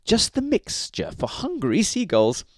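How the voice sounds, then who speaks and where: A man's voice: high voice, huge pitch swings, moderate pace, dark tone, no room sound, clean studio sound, voice pushed up, exaggerated voice. A man voicing a thoughtful, consoling lighthouse keeper's wife in a put-on feminine voice for a children's story. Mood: sly, pleased.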